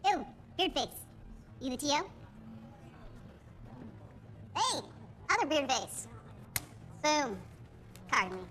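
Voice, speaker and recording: A cocky young-sounding card player, brash and sharp-tongued. Her voice is high and very varied as she fires off deadpan comebacks in a comedy film. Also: slow, long pauses, exaggerated voice. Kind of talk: scripted comedy film acting.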